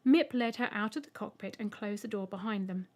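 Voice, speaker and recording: A woman's voice: mid-range voice, fairly quick. A woman reading a scripted short story aloud as an audiobook narrator.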